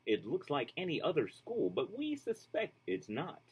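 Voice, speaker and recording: Guy doing a kids book read-aloud, really animated, bit husky, bouncy rhyme rhythm, casual asides. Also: on the high side, normal speed. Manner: expressive, rhythmic rhyme reading. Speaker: storybook reader.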